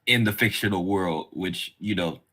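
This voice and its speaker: A man's voice: sits low, moderate pace, pushed and bright. A man hosting a casual podcast chat, talking in a rambling way that circles around his points. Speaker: podcast host.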